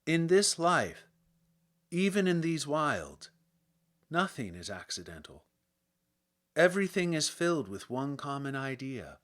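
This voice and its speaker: Slow, measured male audiobook narrator. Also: fairly high pitch, big pitch swings, a bit bright. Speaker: audiobook reader. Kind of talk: literary scripted narration.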